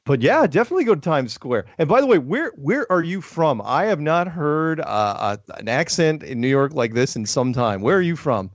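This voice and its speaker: A man's voice: a little high, sing-song, normal speed, hardly any pauses, dry recording, lo-fi sound. Upbeat man hosting a call-in show. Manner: upbeat, laughs often, repeats words. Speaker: host taking calls. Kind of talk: casual call-in chat.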